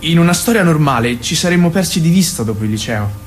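An actor as an earnest, gentle, higher-voiced man. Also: lively intonation, quick pace, soft background music, natural voice. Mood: tender, insistent. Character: earnest, gentle man visiting his old love. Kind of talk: naturalistic radio drama acting.

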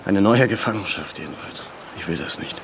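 An actor in a German radio drama playing a weary, serious leader, reflective yet used to command. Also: male voice, sits low, expressive, a little fast, creaky, background noise. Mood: weary, confiding. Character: weary, serious male leader longing for solitude.

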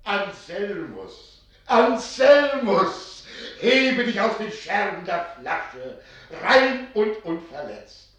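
An actor voicing a solemn fire spirit in the form of an old archivist, speaking slowly and gravely. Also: male voice, high-pitched, big pitch swings, fairly bright tone, exaggerated voice. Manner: solemn, measured. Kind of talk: radio play acting. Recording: distant, echoing, with music.

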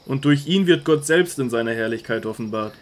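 An actor playing a calm, authoritative male teacher in a radio drama, speaking solemnly and earnestly. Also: mid-range voice, fairly quick, close to an everyday voice.

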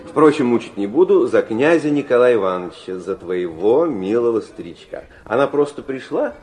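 An actor playing a worldly middle-aged gentleman father in a radio drama, speaking with mocking, theatrical irony. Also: medium pitch, big pitch swings, moderate pace, close to an everyday voice. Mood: amused, ironic. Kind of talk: Russian radio drama acting.

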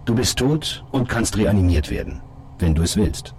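An actor with a standard German accent playing a calm, matter-of-fact middle-aged police colleague in a radio drama, reassuring and direct as he explains things. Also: lowish voice, slight background noise. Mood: earnest, persuasive. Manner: measured wording, reassuring, direct.

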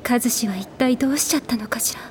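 Woman acting a worried elder sister, higher-pitched. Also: fast talker, darkish tone, slight background noise, lightly acted. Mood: bewildered, worried. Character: troubled, caring adult elder sister confiding family history. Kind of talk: audio drama dialogue.

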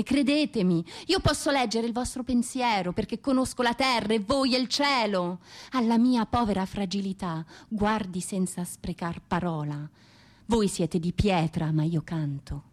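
A woman's voice: mid-range voice, close to an everyday voice. An actress playing an anguished exiled Russian woman poet, pleading yet defiant, in an impassioned, declamatory radio monologue.

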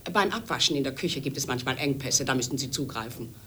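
Low-voiced, commanding woman manager. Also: lively intonation, quick pace, noisy background. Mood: brisk, businesslike. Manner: businesslike, politely bossy, issuing orders. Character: brisk, commanding female manager of a conference house. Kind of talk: radio drama dialogue.

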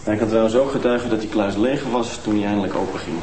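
An actor playing an evasive, money-minded widower who answers the police defensively and querulously. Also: male voice, fairly low pitch, fast, echoey room, background noise, close to an everyday voice.

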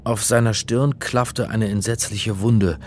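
A man's voice: lowish voice, steady tone, a little slow, a little mellow, slight background noise, close to an everyday voice. An actor playing a hapless, wry crime hero who narrates his own story in a radio play. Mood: wry narration.

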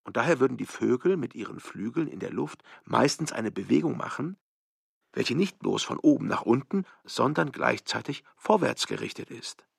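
An actor playing a gravelly, husky narrator who philosophises in a deliberate, declamatory way in a literary radio play. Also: male voice, medium pitch, expressive, no echo, studio quality, exaggerated voice. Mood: measured. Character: gravelly philosophising male narrator of absurd tale.

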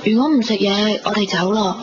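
A woman's voice: mid-range voice, brisk, close to an everyday voice. An actress playing a tender but guarded young woman in love, in a 1990s radio drama with a Hong Kong Cantonese accent. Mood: gentle. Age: young adult. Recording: dull 1990s radio recording, loud music behind.

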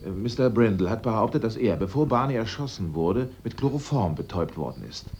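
A man acting a sharp-witted amateur sleuth in a German radio drama, theatrical and assertive as he sets out his case step by step. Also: on the low side, lively intonation, brisk, a little hiss or hum. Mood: explanatory.

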